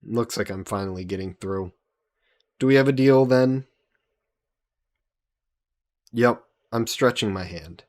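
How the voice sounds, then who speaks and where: A man voicing an easygoing, teasing young adventurer hero in an intimate fantasy roleplay audio drama, casual and gently coaxing. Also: fairly low pitch, measured pace, long pauses, lightly acted. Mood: persuading, casual. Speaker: voice actor.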